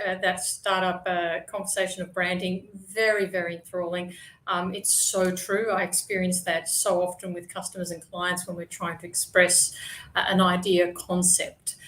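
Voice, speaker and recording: A woman's voice: medium pitch, a bit bright, poor audio. An Australian woman gives an online presentation as a guest speaker. Her delivery is conversational and chatty, full of small fillers and restarted phrases.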